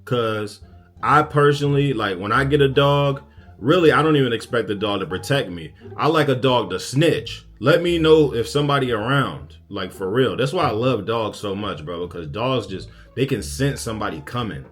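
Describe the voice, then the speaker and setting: A man's voice: medium pitch, animated, normal speed, fairly bright tone, soft background music. A man hosting a reaction video, giving casual, slangy commentary in an emphatic, conversational way.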